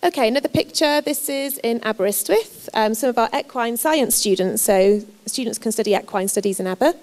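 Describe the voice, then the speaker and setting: A woman's voice: on the high side, expressive, a little fast, darkish tone. A woman from Wales giving a chatty, reassuring presentation about her university to prospective students, with frequent ums. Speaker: university representative. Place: lecture or seminar room.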